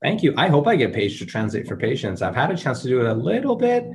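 A man's voice: mid-range voice, huge pitch swings, quick pace, darkish tone. A young man whose first language is Urdu, answering interview questions in an earnest, casual way with many ums and restarts. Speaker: interview guest, medical student.

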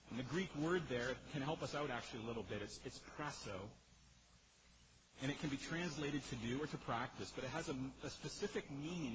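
Man preaching in muffled, noisy audio. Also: medium pitch. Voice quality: powerful voice. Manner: emphatic, conversational, rhetorical questions and direct address. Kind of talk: sermon, expository teaching to a congregation. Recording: muffled sound with clear background noise.

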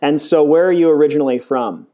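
A man's voice: on the high side, quick pace, dark tone. A man hosting a podcast interview, asking casual questions in a friendly way, with fillers and short affirmations. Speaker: podcast host. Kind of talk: interview host, scripted intro then casual questions.

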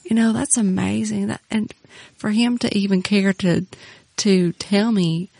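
A woman's voice: medium pitch, fairly even intonation, a little slow, vocal fry, a little mellow, husky, dry recording. A middle-aged woman on a podcast with her husband, speaking earnestly and reflectively with many "you know"s. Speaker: podcast co-host, pastor's wife. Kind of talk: casual faith conversation.

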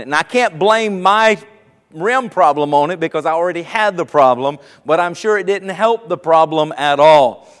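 Storytelling pastor in a reverberant hall. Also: male voice, a little high, clean tone. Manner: storytelling, conversational, slows for emphasis. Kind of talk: sermon with personal story.

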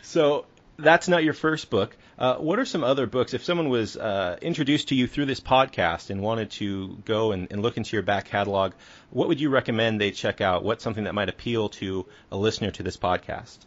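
A man's voice: on the low side, brisk. A man hosting a podcast, interviewing his guest in a casual conversational style. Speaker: podcast host and interviewer, a writer. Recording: dull lo-fi audio.

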